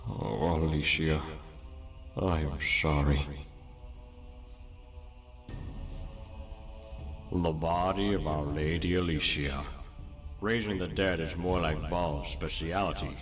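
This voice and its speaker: A man's voice: rumbling low, steady tone, a little slow. An actor playing a weary, sorrowful old man with a grave, pleading manner in a video game. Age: older. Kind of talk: dramatic game voice acting. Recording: long hall reverb, music behind.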